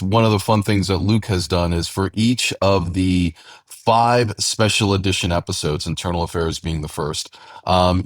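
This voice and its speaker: A man's voice: low voice, no room sound, studio quality. A man talking casually as a podcast host, discussing his show in unscripted conversation.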